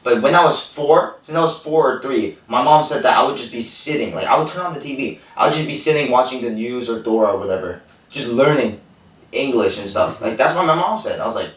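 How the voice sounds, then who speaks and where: A man's voice: mid-range voice, a little fast. Teen guy chatting in an echoey room. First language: Korean. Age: teens. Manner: animated storytelling, frequent "like" fillers. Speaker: podcast host. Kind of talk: casual chat. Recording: echoey room, distant mic.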